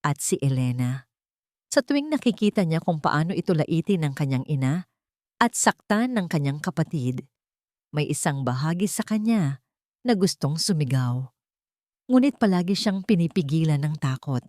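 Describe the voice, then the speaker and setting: A woman's voice: sits low, sing-song, moderate pace, warm tone, no echo, clean studio sound. A woman storyteller giving scripted dramatic narration of a love story.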